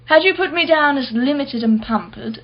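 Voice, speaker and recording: A haughty, wealthy woman collector in a radio drama. Her voice sits fairly high, and she speaks in an arch, witty, defensive way. The sound is slightly dull. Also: animated, moderate pace. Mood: nostalgic, proud. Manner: arch, articulate, sardonic. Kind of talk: radio play acting.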